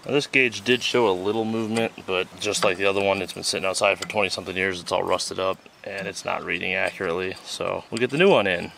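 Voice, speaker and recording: A man with a fairly low voice talks through his repair work in a loose, joking way, now and then dropping into mutters as he works. He is recorded outdoors, and clear noise runs behind him. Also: steady tone, fast, few pauses. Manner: chatty and joking, swears casually, mutters while working. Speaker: vlog host fixing an old tractor. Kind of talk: casual how-to narration while working. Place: outdoors on a farm.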